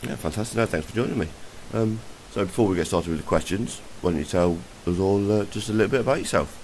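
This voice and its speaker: A man's voice: lowish voice, fast talker, a little mellow, slight background noise, poor audio. A man hosting a podcast, asking polite questions and answering with short, friendly reactions. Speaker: podcast host. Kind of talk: casual interview.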